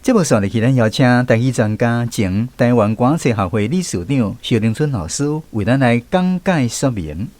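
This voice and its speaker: A man's voice: mid-range voice, animated, moderate pace, few pauses, a little mellow, no room sound. Man announcing on a radio show. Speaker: radio host. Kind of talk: scripted announcement.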